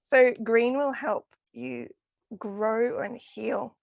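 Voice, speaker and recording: Brief: woman guest, chatty and enthusiastic, voice going hoarse, gravelly fry, dry close sound. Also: on the high side, very animated, extremely slow, no room sound, muffled recording. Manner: enthusiastic, chatty with frequent laughs. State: losing her voice. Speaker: crystal healing guest. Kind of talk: casual podcast interview.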